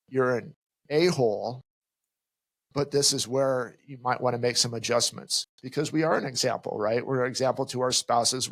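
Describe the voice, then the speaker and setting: Podcast co-host, middle-aged man, mid-pitched, emphatic, slips into quoted voices, close dry sound. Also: no echo. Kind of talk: casual advice chat.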